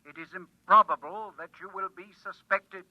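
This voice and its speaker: A man's voice: sits high, fairly even intonation, normal speed, dry recording. A man playing a talking advice machine in an old radio drama, precise and impersonal like an announcer, through a small speaker. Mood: impersonal, matter-of-fact. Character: talking machine coolly dispensing deadly advice. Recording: dry, muffled old radio recording.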